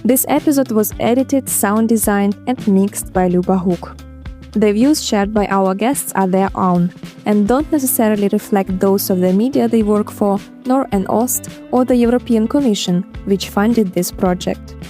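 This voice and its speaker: A woman presenting a podcast, reading a clear, even scripted outro. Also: medium pitch, normal speed, soft background music.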